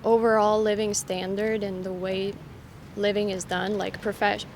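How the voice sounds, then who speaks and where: A young woman gives measured, item-by-item answers in a street interview. Her voice has a gravelly edge, with clear traffic noise behind her. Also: mid-range voice, little pitch movement, a little mellow. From Serbia. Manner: measured, lists ratings item by item. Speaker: master's student, interviewee.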